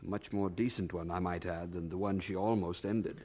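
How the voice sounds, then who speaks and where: A man's voice: low voice, steady tone, moderate pace, dark tone. An actor playing a kindly, eager young churchman in a radio drama, earnest and probing, with a slight stammer. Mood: thoughtful, curious.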